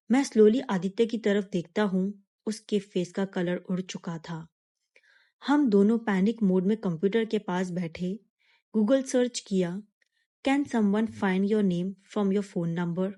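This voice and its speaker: A woman narrating a scripted first-person suspense story in a measured, dramatic manner with pauses. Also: medium pitch, mellow.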